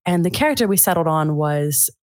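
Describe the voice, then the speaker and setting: A woman voice actor and singer, chatting as a podcast guest in an animated way that is quick to laugh. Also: low-pitched, dry recording, studio quality. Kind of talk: casual conversation.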